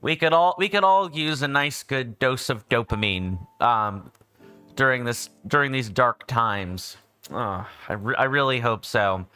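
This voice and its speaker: A man's voice: mid-range voice, lively intonation, a little slow, sharp tone, clean tone, no room sound, faint music behind. A man, just woken up, chatting casually as a game stream host, rambling with frequent self-corrections. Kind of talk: casual livestream chat.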